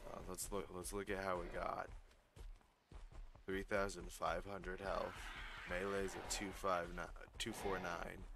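A man giving casual gameplay commentary while losing his voice, excitable and swearing when something startles him. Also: deep voice, measured pace, vocal fry, background noise. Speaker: gaming YouTuber.

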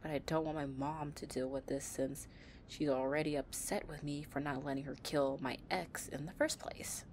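A woman's voice: low voice, steady tone, normal speed, darkish tone, a little hiss or hum, lightly acted. A woman playing a tough but tender, anxious delinquent girlfriend in an intimate roleplay audio, murmuring breathily. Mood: worried, exasperated.

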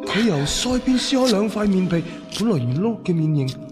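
With music clearly behind him, an irreverent young rascal in a fairly high male voice. He mutters exclamatory, crude asides in radio drama style. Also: sing-song, moderate pace. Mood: astonished. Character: cheeky, irreverent young rascal watching in secret. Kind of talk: Cantonese radio drama acting.

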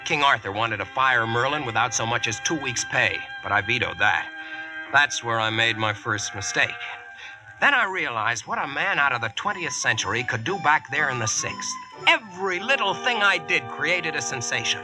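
An actor with an American accent playing a brash, slangy, wisecracking Yankee boss in a vintage radio drama. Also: male voice, medium pitch, very animated, over music, lightly acted. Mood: boastful. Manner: slangy, brisk, cocksure. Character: brash wisecracking modern Yankee man bossing King Arthur's court. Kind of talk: 1940s radio acting and first-person narration. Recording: noisy vintage radio recording.

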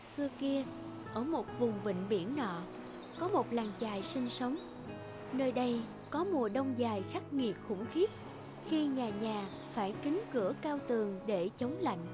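A woman's voice: on the high side, expressive, measured pace. A woman reading scripted fairy-tale narration as the narrator of a cartoon. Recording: music bed behind the voice. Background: background music.

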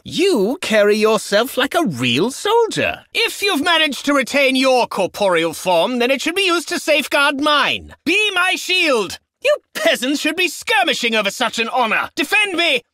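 A man's voice: extremely high pitch, pushed and bright, no echo, studio quality, exaggerated voice. A man voicing a haughty, condescending medieval nobleman in a video game, with pompous, ornate enunciation and theatrical delivery. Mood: condescending praise, then imperious, urgent. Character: snobby, haughty medieval nobleman, contemptuous of peasants.

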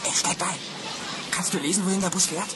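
Woman voicing an excitable boy sleuth, lowish voice, noisy recording. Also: fairly quick, natural voice. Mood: excited. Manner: excited, urgent. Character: eager young boy detective, excitable.

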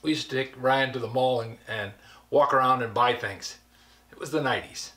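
A man's voice: medium pitch, normal speed, bright, forceful tone. An older man giving a casual, folksy tour of his workshop, rambling through anecdotes with dry asides. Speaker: woodworker giving a shop tour. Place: cluttered basement workshop, indoors. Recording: echoey workshop room.

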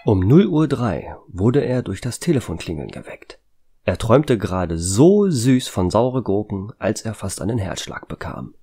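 A man's voice: on the low side, sing-song, unhurried, warm tone, breathy edge. A man narrating a scripted story as its storyteller. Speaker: narrator and author.

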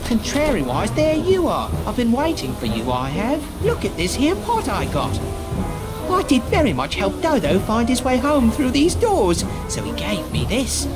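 A man's voice: extremely high pitch, wildly swooping pitch, moderate pace, music in the background, full character voice. An actor playing a childlike, simple, chatty twin boy in a video game, with muddled, folksy grammar. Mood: cheerful, chatty. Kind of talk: video game character acting.

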